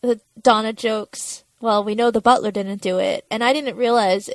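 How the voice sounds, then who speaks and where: A woman's voice: a little high, steady tone, moderate pace, dry recording. A woman co-hosting a podcast, casually talking through the plot of a TV episode. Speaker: podcast co-host.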